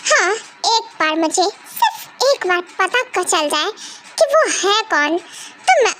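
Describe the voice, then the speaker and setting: A woman acts out an angry man who is being blackmailed. He is irritated and threatening, voiced very high over faint background noise. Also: very animated, slow, fairly bright tone, exaggerated voice.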